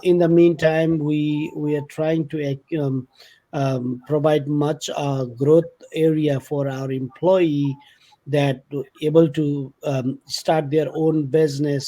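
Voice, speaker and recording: Halting soft-toned man, warm voice. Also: fairly high pitch. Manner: halting, many fillers and restarts. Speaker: company vice president. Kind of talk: casual conversational podcast talk.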